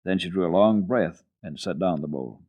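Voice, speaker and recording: A man reading a children's story for radio in an expressive, deliberate, theatrical way. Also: sits low, phone-quality sound.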